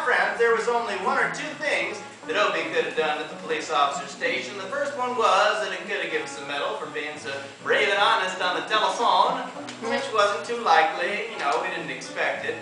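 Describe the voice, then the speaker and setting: A young man spins a comic tale in a relaxed, deadpan drawl, reeling off long lists as he strums an acoustic guitar. His voice sits high and carries through a live theater. The sound is distant and roomy, caught by a camera far from the stage. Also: brisk. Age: young adult. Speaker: student performer. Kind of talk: talking-blues storytelling over guitar.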